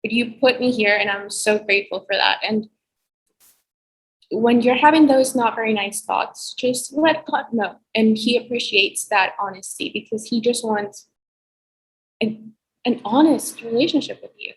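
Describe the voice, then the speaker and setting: A woman's voice: fairly high pitch, unhurried, low-quality recording. A young adult woman, a guest on a video-call interview, giving heartfelt, reflective advice about faith and healing. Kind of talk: earnest faith-based advice.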